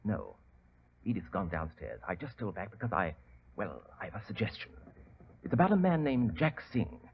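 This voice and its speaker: An actor playing a nervous, earnest young gentleman in a 1940s radio drama, polite and hesitant. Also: lowish voice, big pitch swings, moderate pace, gravelly, a little hiss or hum. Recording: dull old radio recording.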